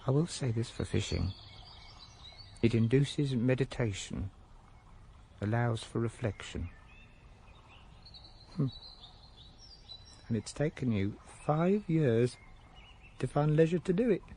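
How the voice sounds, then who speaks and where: Wry, expressive ordinary man. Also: mid-range voice, takes it slowly, pauses often, slight background noise. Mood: musing. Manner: musing, colloquial, wordy. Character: wry, reflective ordinary middle-aged man. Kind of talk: radio drama acting.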